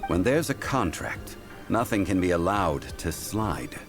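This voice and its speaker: An actor playing a formal, unbending scholarly man in a video game, speaking in a measured, stern manner. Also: sits low, big pitch swings, heavily acted. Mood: stern, grave. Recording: background game music under the voice.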